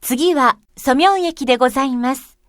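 Woman, subway announcer, high voice, very slow, formal and courteous, dry recording. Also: a bit bright, dry recording. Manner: very polite, formal, measured.

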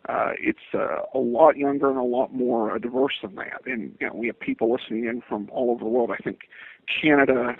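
A man answering interview questions as a podcast guest, speaking in a halting, hesitant, stop-start way over a lo-fi line. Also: mid-range voice, very animated, creaky, husky, no echo. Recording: tinny lo-fi phone-like line.

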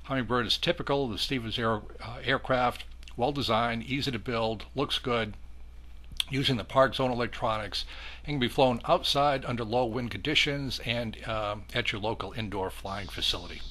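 Bright-voiced man narrating a hobby review. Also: medium pitch, moderate pace. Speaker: model airplane hobbyist reviewer. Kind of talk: scripted product review narration.

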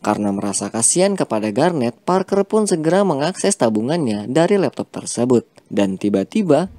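A man reading a scripted film plot recap as a voice-over, with a steady storytelling delivery. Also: mid-range voice, fairly quick, no echo. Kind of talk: scripted narration.

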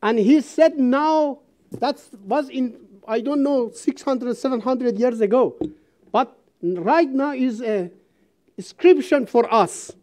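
A woman from Iran, giving a seminar speech in an auditorium in halting English, speaking emphatically and reciting verse. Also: high voice, a little mellow. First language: Persian.

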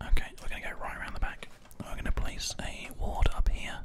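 A man whispers soft, breathy ASMR commentary over his own video game play, unhurried and reassuring, close on a binaural microphone. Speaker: gaming video host. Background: keyboard typing and mouse clicks.

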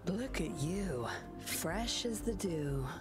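A woman's voice: lowish voice, expressive, slow, soft background music. An actress voicing a cool, dry-teasing young woman in a video game.

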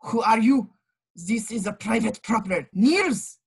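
A woman's voice: a little high, lively intonation, unhurried, darkish tone, breathy edge, full character voice. A woman voicing a bossy, menacing henchwoman, curt and commanding, in a comedic movie script read. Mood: threatening.

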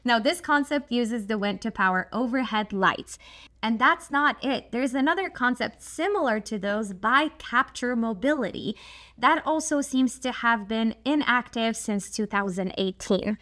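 A woman, hosting an energy channel and talking to camera in a chatty, expressive way, seated at a desk in a dim studio. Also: fairly high pitch, unhurried. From Poland. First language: Polish. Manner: chatty, expressive, frequent asides and "like". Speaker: host of a solar energy channel. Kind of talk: explainer talk to camera. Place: dim studio with a shelf of glowing props.

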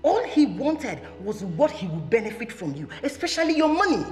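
A young woman with a Nigerian accent acting a loyal friend who scolds and switches to loud Pidgin. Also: fairly high pitch, very animated, moderate pace, soft background music. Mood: scolding. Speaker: protective friend. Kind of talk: skit drama acting.

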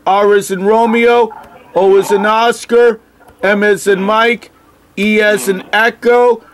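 A man on a phone call speaking in a halting, deliberate, literal way as he spells and reads aloud. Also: sits high, flat delivery, slight background noise. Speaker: phone call recipient stringing a caller along. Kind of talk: slow phone conversation, spelling and reading aloud.

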